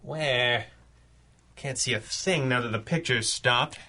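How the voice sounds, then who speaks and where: An actor playing a calm, drily humorous superhero boss in a 1930s-style radio drama. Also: male voice, mid-range voice. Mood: wry. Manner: dry, unhurried. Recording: faint background ambience noise.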